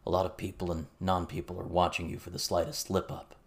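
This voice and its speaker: Man playing a grave, deep-voiced weary soldier. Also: monotone, gravelly. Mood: reflective. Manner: measured, matter-of-fact. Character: calm, weary male soldier, measured and grave.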